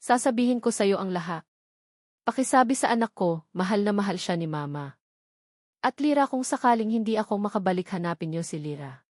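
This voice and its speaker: A woman voicing a remorseful young mother's farewell journal entry, halting and sorrowful, in a romance audio drama. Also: medium pitch, expressive, a little mellow, no room sound, clean studio sound, lightly acted. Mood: sorrowful, apologetic.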